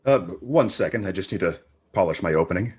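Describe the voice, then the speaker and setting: Man acting as an earnest radio host, flustered, stammering, husky, lively swooping pitch, comedy radio play. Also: quick pace. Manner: stammering, hesitant.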